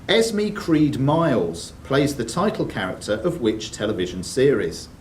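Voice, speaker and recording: Male quiz master, animated intonation, question-reading delivery; distant, echoey room recording. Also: medium pitch. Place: indoors in a club room, mic at a distance. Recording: roomy hall, distant mic, faint noise.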